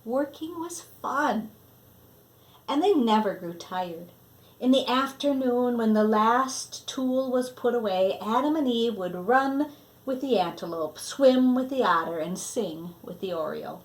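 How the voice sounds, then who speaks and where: A woman reading a children's story aloud expressively, with deliberate phrasing that sometimes drops to a hush. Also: a little high, expressive, rough recording. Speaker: storybook reader.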